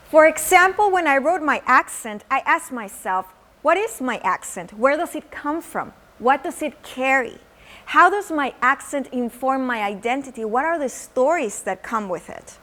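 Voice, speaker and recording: A woman's voice: high voice, fairly bright tone. A woman from Mexico with a Mexican accent, performing spoken word poetry and teaching how it is made. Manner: slow, measured poetic delivery with Spanish words. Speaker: teaching artist.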